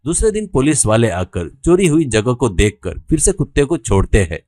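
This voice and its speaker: A man's voice: medium pitch, sing-song, moderate pace, a little mellow, no echo. A man narrating a scripted story as a storyteller. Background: music.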